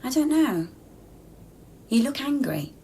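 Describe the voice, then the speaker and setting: A fairly high-voiced, eerie woman in an intimate radio play. She is hushed, childlike and searching, like someone forgetful who clings to her lover, with clear noise behind her. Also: sing-song, takes it slowly, full character voice. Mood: soft, puzzled. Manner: hushed, searching, many short simple questions. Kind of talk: intimate radio drama acting.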